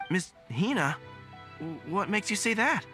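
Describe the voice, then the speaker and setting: An actor playing an earnest, dutiful young general in a video game, speaking formally and deferentially. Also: male voice, sits high, big pitch swings, unhurried, bright, forceful tone, soft background music, full character voice. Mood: flustered, earnest. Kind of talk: animated video game voice acting.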